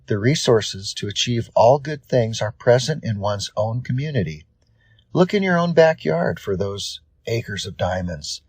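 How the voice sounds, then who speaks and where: A man narrates from a script in a measured style. His delivery is slow and his voice is husky and a little low. There is very little room sound around him. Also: no room sound. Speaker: audiobook narrator.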